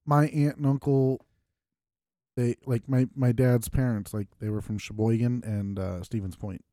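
Man, mellow tone, dry close mic, casual podcast chat. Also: mid-range voice, fairly even intonation, unhurried, no echo. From Wisconsin. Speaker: podcast co-host. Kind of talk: casual chat among friends.

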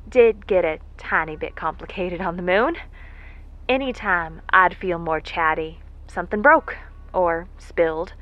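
Muffled, slow-talking, earnest woman. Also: on the low side. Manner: folksy, halting, reflective. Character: earnest, vulnerable working woman recording a heartfelt message. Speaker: actor in an audio drama. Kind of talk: intimate monologue in an audio drama. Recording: muffled lo-fi audio with music behind. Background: music.

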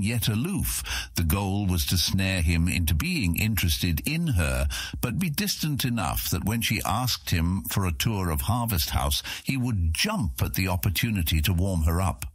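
A man reads scripted fantasy narration in a very low, husky voice. His intonation is lively and varied. The recording is dry and close. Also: unhurried, no room sound. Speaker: audiobook narrator.

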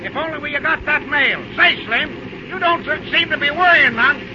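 A man's voice: extremely high pitch, moderate pace. An actor playing a scrappy, folksy railroad man with slangy talk in an old-time radio drama. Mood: eager, puzzled. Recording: muffled, noisy old radio recording.

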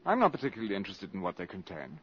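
An actor playing a suave, shrewd valet who is secretly a spy, coolly sardonic and formally polite, in 1950s radio drama style. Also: male voice, low voice, huge pitch swings, very fast, fairly bright tone, breathy edge, full character voice. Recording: dull 1950s radio broadcast.

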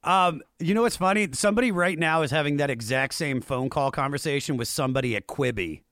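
An American man with a fairly high voice talks music history with enthusiasm. He is emphatic and slangy, repeating phrases to drive a point home, in a very dry recording. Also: fast, fairly bright tone, no room sound. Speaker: music show host.

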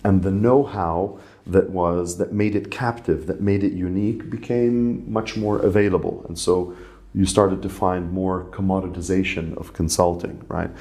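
A man's voice: low voice, fairly even intonation, moderate pace, warm tone. A middle-aged man who grew up in Saudi Arabia, telling his story as an interview guest, with many fillers and restarts. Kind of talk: conversational interview.

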